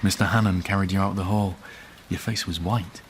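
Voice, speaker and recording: A man's voice: low voice, fairly even intonation, fairly quick, a little hiss or hum, lightly acted. An actor playing a guarded, hesitant man in a radio drama who sidesteps questions about himself. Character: guarded man going to his father's funeral.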